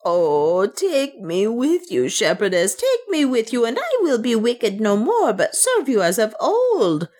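A woman's voice: huge pitch swings, unhurried, hardly any pauses, darkish tone, exaggerated voice. Woman acting a desperate, wailing servant woman. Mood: desperate pleading. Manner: shrill, wailing, pleading. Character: wounded, half-mad, devoted servant woman, desperate and wailing.

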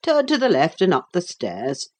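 Woman as a genteel, chatty gentleman jailer. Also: lowish voice, huge pitch swings, normal speed, breathy edge, full character voice. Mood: cordial welcome. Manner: polite, cordial, affected.

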